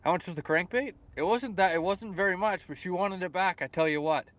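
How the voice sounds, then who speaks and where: A young man from Canada talking on a live fishing stream outdoors by a lake. His voice sits a little high for a man. He rambles easily, doubling up words as he answers viewers' comments. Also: a little fast, a bit bright. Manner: rambling, repeats words, chuckles often. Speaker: fishing livestreamer. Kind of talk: casual live-stream chat reading comments.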